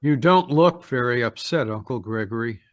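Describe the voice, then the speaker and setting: An actor playing a suspicious, defiant young nephew in an old-style radio mystery. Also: male voice, mid-range voice, animated, normal speed, no echo, lightly acted. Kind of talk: 1940s radio mystery acting. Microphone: video call.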